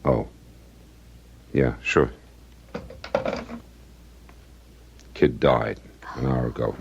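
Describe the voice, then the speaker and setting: An actor playing a weary, stubborn middle-aged policeman and husband in an old-time radio drama, plain-spoken, gravelly and husky. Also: rumbling low, fairly even intonation, slow pace, darkish tone, slight background noise, heavily acted. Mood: subdued, resolute. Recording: dull, lo-fi radio recording.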